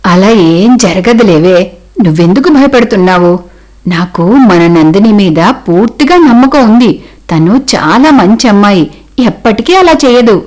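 Woman acting a patient, lower-voiced older mother. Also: normal speed, close to an everyday voice. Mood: reassuring. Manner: calm, reasoning, reassuring. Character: gentle, trusting elderly mother and mother-in-law, patient. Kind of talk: cartoon moral-story dialogue.

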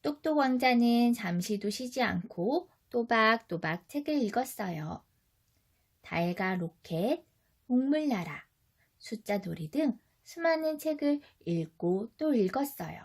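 A woman's voice: fairly high pitch, animated, unhurried, slightly rough. A woman reading a children's story expressively as a mother would, speaking directly and instructively to a small child.

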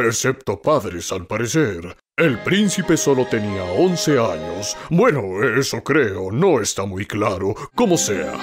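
Wry male storybook narrator, lively pitch. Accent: Latin American. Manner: wry, offhand. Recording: music bed under the voice.

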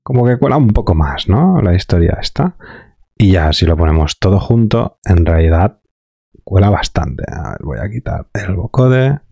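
A man giving an informal software tutorial, offhand and thinking aloud as he works, close on the mic. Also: low-pitched, very animated, normal speed, vocal fry, dry recording. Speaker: online audio software instructor.

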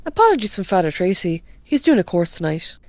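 A woman's voice: fairly low pitch, big pitch swings, brisk, darkish tone, no echo. An Irish woman playing a chatty rural committee member in an amateur radio drama. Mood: matter-of-fact.

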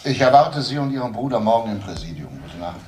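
An actor playing a dogged, probing police detective in a crime radio play, asking terse and insistent questions. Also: male voice, mid-range voice, very animated, fast talker, darkish tone, slight background noise, close to an everyday voice. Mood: probing, official. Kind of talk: German crime radio drama dialogue.

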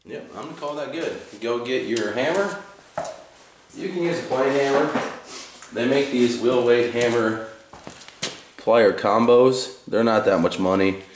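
A man's voice: medium pitch, unhurried, pauses often. Laid-back man in an echoey garage. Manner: laid-back, rambling, with fillers and self-corrections. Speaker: garage tool reviewer. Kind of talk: casual how-to demonstration. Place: home garage with concrete floor. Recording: echoey garage, faint background noise.

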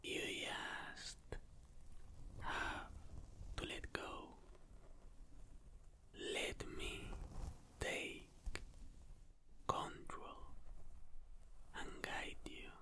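A man's voice: drawn-out words, pauses often, sharp tone, exaggerated voice. A man playing a dominant, teasing demon lover in an intimate ASMR roleplay, coaxing in a commanding, seductive whisper. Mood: hypnotic, possessive. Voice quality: breathy whisper.

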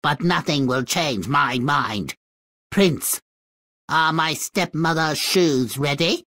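A coy young princess in a video game, theatrical and secretly vengeful. Her delivery is unhurried, with big swings in pitch. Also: animated, a bit bright, full character voice. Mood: coldly vengeful. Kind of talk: video game character acting.

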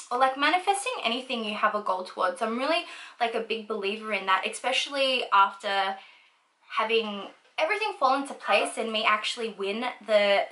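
Woman, twenties, from Australia, chatty, fillers, slightly high voice, roomy echo. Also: pushed and bright. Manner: chatty, lots of likes and ums, laughs easily; talks while applying makeup. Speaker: beauty vlogger. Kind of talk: casual get-ready-with-me chat. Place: small indoor room at home.

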